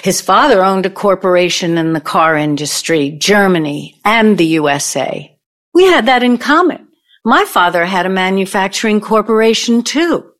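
A woman's voice: mid-range voice, animated, moderate pace, fairly bright tone, lightly acted. Woman acting a bitter, vengeful older ex-girlfriend. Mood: wistful. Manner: dramatic, pointed, reminiscing. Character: bitter, wounded, vengeful ex-girlfriend from mogul's college days. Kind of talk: audio play acting.

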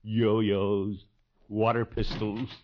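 A man's voice: fairly low pitch, level tone, extremely slow, noisy background. A man playing a blustery, doting middle-aged American uncle in a 1950s radio sitcom. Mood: wistful, exasperated. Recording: dull 1950s radio broadcast.

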